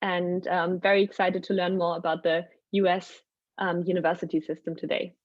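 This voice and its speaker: A woman's voice: on the low side, level tone, moderate pace, dry recording. A young woman hosts an online webinar over a video call, filling her sentences with ums and small restarts. Her voice is dry and close, with slightly dull call audio. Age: young adult. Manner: hesitant, many ums. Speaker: webinar host. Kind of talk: hosting a Zoom webinar.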